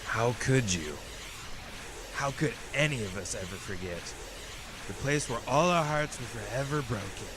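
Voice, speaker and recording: A man's voice: medium pitch, expressive, moderate pace, noisy background, lightly acted. A man playing an unhinged, grieving villain who swings between menace and tender pleading in a melodramatic audio drama. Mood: menacing, wild. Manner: melodramatic, intense.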